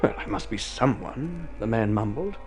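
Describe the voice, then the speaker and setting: A man narrating a scripted story in golden age radio drama style, with measured dramatic phrasing. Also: lowish voice, expressive. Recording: vintage radio drama recording. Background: prominent music.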